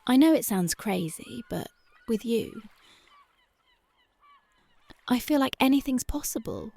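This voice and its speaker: A woman playing a gravelly, slow punk girlfriend. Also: on the high side, lively intonation, exaggerated voice. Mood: tender, dreamy. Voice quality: soft, intimate, partly whispered. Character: tough, devoted young punk girlfriend, tender at night. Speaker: voice actress. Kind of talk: ASMR girlfriend roleplay.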